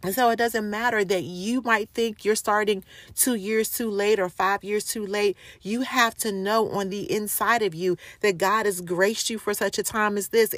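A woman's voice: mid-range voice, fairly bright tone, no echo. A middle-aged woman teaching a devotional lesson in an earnest, encouraging way, repeating key phrases. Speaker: Bible teacher.

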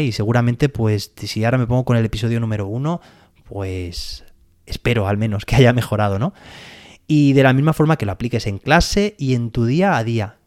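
A man's voice: medium pitch, a little mellow. A male teacher with a Spain Spanish accent hosts his own educational podcast. He explains at an easy, conversational pace and keeps checking in with the listener. His voice sits in a middle register. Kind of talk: solo educational podcast monologue.

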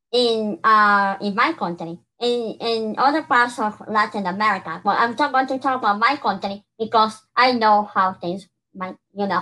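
Bright-voiced woman from Peru. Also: fairly high pitch, low-quality recording. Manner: earnest, many restarts and "you know". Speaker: podcast co-host. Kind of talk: casual conversation.

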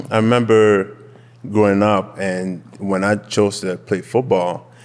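A young man from Quebec whose first language is French, speaking English with a Quebec French accent as an interview guest, relaxed and candid with many fillers. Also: fairly low pitch, slow pace, long reverb tail. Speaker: guest, football player. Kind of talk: casual interview.

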